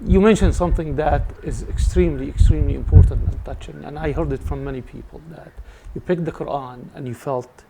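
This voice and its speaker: A man's voice: fairly high pitch, fairly quick, gravelly, hardly any pauses. A man with an Arabic accent hosting a television interview, putting questions to his guest. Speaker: TV interview host. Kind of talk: interviewing on a religious talk show. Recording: audible background noise.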